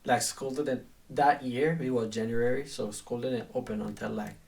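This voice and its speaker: A man in his twenties from Haiti, a podcast guest who tells his story in a flat, matter-of-fact way. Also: mid-range voice, moderate pace, creaky, far from the mic. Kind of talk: casual interview storytelling.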